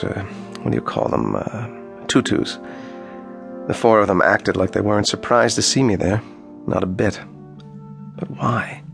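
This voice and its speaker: A radio-play major, insistent and bewildered. His voice is lowish and creaky, and he talks haltingly and urgently, as one demanding answers. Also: fairly bright tone. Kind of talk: radio drama acting.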